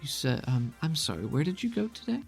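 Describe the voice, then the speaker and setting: A man voicing a serene, gray-haired older mother with a calm, gentle, softly hesitant manner. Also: on the high side, fairly quick, creaky, breathy edge, faint music behind, pitched above the performer's own voice, full character voice. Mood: gently curious.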